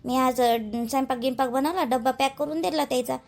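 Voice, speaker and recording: A woman's voice: high-pitched, a little fast, darkish tone, soft background music. A woman playing a dutiful young village wife in a cartoon, plaintive and rambling, with a Vidarbha Marathi accent. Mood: worried. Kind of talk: homely cartoon drama dialogue.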